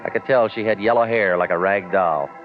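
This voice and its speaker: A low-voiced, wisecracking private eye played by a man in a 1940s radio noir. He is terse, deadpan and sardonic, on a muffled vintage recording with music. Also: a little fast, lightly acted. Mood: deadpan narrating. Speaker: narrating detective lead.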